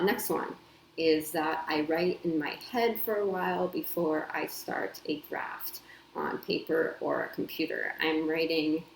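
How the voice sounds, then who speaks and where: Low, gravelly-voiced woman chatting. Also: slight background noise. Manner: chatty, frequent ums and small laughs. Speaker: copywriter and editor. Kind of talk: casual talk to camera. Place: home. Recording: echoey room with faint ambience.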